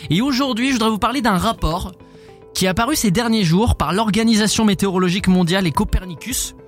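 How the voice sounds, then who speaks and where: Joking high-voiced radio host, southern French. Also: male voice, quick pace, faint music behind. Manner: jokey, animated. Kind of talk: morning radio chat.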